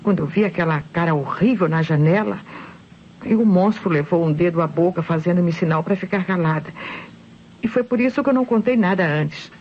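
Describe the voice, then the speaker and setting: A woman's voice: fairly low pitch, natural voice. A Brazilian actress playing a frightened widow in a vintage radio mystery, telling what she witnessed with dramatic fear. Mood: horrified recounting. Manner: fearful, dramatic, deliberate storytelling. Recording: noisy, muffled old radio recording.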